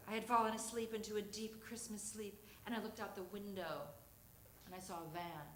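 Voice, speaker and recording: A woman reading a comic personal story live on stage, theatrically, with deliberate pauses. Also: medium pitch, pushed and bright. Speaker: reader of a humorous memoir story. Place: theatre stage before an audience. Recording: roomy, noisy live stage recording.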